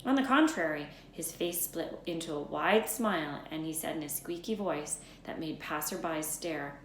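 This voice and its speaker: Woman doing a dramatic storybook read aloud, kinda low voice, echoey room with faint noise. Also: normal speed. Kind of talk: expressive scripted storybook narration.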